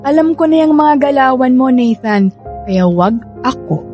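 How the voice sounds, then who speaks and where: Sassy, high-voiced young woman. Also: animated, normal speed, a little mellow, soft background music. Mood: sarcastic, firm. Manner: teasing, brusque, sarcastic. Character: sassy, guarded young woman working at a computer shop. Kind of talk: Filipino radio drama acting.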